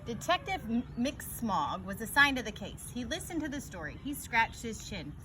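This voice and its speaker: A woman reading a picture book aloud to children in an expressive storytelling style. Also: a little high, normal speed. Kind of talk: animated children's read-aloud narration. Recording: noisy, picked up from a distance.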